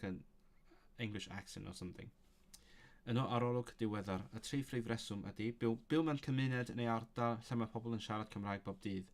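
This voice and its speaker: Man musing aloud over his studies. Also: lowish voice. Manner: thinking aloud, musing to himself with frequent chuckles. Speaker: language learner streaming his study session. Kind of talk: casual livestream, reading a textbook aloud and commenting.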